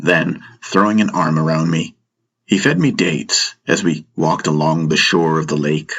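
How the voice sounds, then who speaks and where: A man's voice: very deep voice, a little slow, creaky, a bit bright, husky. A man narrating a first-person story as a dramatic audiobook.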